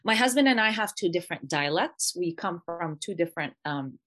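A woman's voice: fairly low pitch, expressive, a little fast, fairly bright tone. Earnest chatty woman in her thirties. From Palestinian family, raised in Canada. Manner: earnest, chatty, sprinkles Arabic phrases. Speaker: guest, homeschooling mother. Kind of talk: casual interview chat.

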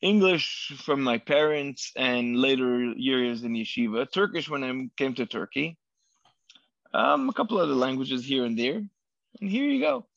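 A man's voice: mid-range voice, animated, a little slow, vocal fry, a bit bright, no room sound. A man whose first language is Hebrew, a rabbi answering interview questions in English with many fillers and restarts. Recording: dull lo-fi dry sound.

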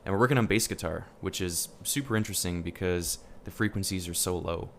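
A man's voice: deep voice, brisk, slight background noise. A man chatting enthusiastically as host, nerdy and curious, with lots of ums. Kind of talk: casual chat.

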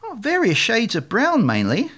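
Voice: medium pitch, wildly swooping pitch, moderate pace, fairly bright tone, pitched above the performer's own voice. A performer voicing a naive, dim young swan who believes he is an ugly duckling, self-pitying and childlike in a storybook tale. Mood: earnest.

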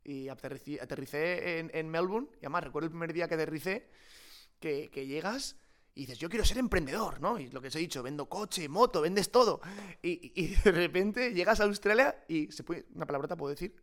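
A man with a fairly high voice and a Peninsular Spanish accent talks as a guest in an unscripted interview. He tells his stories in an informal, colloquial way. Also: animated, fairly quick, fairly bright tone. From Spain. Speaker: podcast guest, startup co-founder. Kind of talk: improvised conversational interview.

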